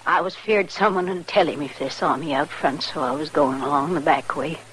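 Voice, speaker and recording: A woman's voice: deep voice, normal speed, rough recording. An earnest frontier mother. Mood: pleading. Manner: quiet, earnest, plain-spoken rural speech. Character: worried, upright frontier wife and mother. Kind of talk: 1950s radio drama acting.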